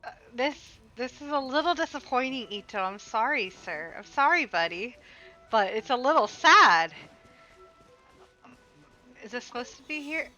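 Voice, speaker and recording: A woman's voice: on the high side, moderate pace, pauses often, dull audio, soft background music. A young woman chatting casually and expressively to herself as she streams a video game. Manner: expressive, self-talking, playful. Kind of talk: casual live gameplay commentary.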